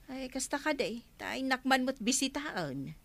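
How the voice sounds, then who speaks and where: A woman's voice: high-pitched, very animated, a little slow, vocal fry, a bit bright, exaggerated voice. An actress with an Ilocano accent playing a kindly, helpful village councilwoman in a comedy drama. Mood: friendly.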